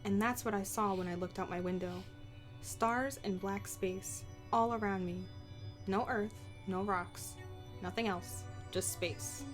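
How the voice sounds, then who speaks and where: A woman voicing a young, sharp-tongued woman telling of her past in a visual novel, informal and snappy. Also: mid-range voice, fairly even intonation, measured pace, faint music behind, natural voice. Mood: reflective. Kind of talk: visual novel voice-over read aloud.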